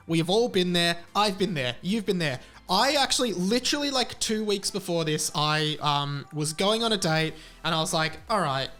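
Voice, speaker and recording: A man's voice: high-pitched, pushed and bright. A man hosting a podcast, talking informally and frankly, with emphatic repetition. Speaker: podcast host. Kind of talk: casual podcast talk and chatty ad read.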